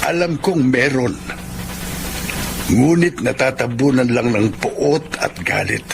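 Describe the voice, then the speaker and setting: Slow, gravelly, earnest uncle. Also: male voice, mid-range voice, background noise. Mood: earnest pleading. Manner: measured, solemn, counselling. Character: earnest, gently reproving older brother and wise uncle. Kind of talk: radio drama acting. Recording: music bed under the voice.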